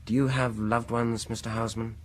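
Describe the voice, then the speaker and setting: An actor playing a probing, falsely polite British dentist in a radio horror drama, with a husky voice and an insinuating, deliberate manner. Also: male voice, mid-range voice, little pitch movement, lightly acted. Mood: quietly probing. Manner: deliberate, insinuating, falsely soothing, chuckling at his own remarks.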